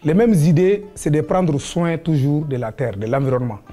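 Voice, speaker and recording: A man speaking in a documentary interview, explaining things with many pauses and fillers. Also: a little high, animated, warm tone, soft background music.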